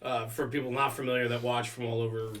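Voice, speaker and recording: Bright, forceful American male host. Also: mid-range voice, flat delivery, fast, slight background noise. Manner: explanatory, circles back to his point. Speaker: podcast host. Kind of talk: casual interview chat.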